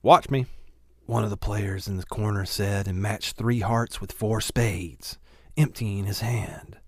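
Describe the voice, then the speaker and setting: A man's voice: fairly low pitch, fairly even intonation, a little slow, a little mellow, no echo, clean studio sound. A man narrating a novel as a scripted audiobook reading.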